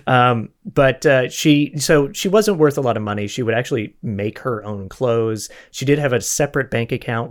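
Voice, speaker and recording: A man hosting a podcast, retelling a historical mystery in an informal, conversational way with joking asides and self-corrections. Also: mid-range voice. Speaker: podcast host telling a true crime case.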